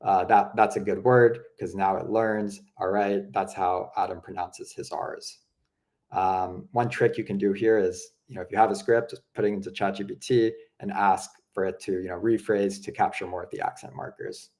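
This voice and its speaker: A young man with a slightly low voice talks viewers through a software tool. He keeps it loose and conversational, full of fillers, as if chatting across a desk. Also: normal speed. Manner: relaxed, chatty, many fillers like "you know" and "um". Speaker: product presenter. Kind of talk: casual explanatory walkthrough.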